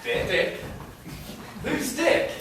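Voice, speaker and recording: A man playing a grandiose, excitable comic rival candidate in a pantomime, heard in an echoey space. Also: drawn-out words. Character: pompous, squabbling comic male rival candidate. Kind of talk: pantomime stage acting. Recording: far, echoey noisy stage.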